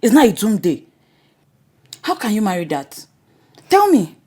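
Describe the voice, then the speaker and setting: A woman's voice: mid-range voice, very animated, measured pace, a little mellow, slightly rough. An actress playing an outspoken palace woman, blunt and defiant.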